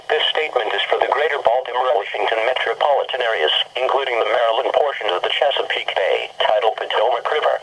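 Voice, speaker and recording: A man's voice: medium pitch, a bit bright, husky, low-quality recording. A synthetic American man's voice reads a formal, impersonal scripted weather bulletin as a weather radio announcer. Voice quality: synthetic text-to-speech voice. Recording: weather radio broadcast sound.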